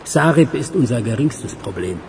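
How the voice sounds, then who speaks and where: An actor playing a contemptuous, cold gang leader in a German radio crime drama, with a cutting manner. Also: male voice, mid-range voice, animated, moderate pace, background noise, close to an everyday voice. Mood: cold assessing. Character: scornful male crime boss nicknamed Doctor.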